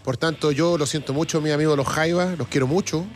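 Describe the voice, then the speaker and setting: A man's voice: on the high side, steady tone, fairly quick. A Chilean man hosting a live music show in casual chat, often repeating phrases for emphasis and reading out comments.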